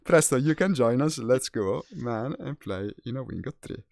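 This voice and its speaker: An Italian-accented man streams a flight game, talking casually and thinking aloud as he juggles the chat. His voice carries a gravelly fry, recorded close and dry with no room sound. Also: medium pitch, animated, normal speed, darkish tone, no echo. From Italy.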